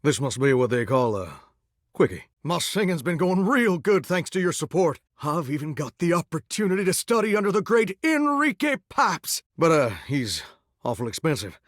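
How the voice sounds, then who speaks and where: An actor voicing a lovesick talking shower in a game, with a drawl and a folksy, earnest way of talking. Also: male voice, a little high, very animated, moderate pace, dry recording, studio quality. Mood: wheedling. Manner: folksy, earnest and sweetly corny. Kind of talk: video game character dialogue.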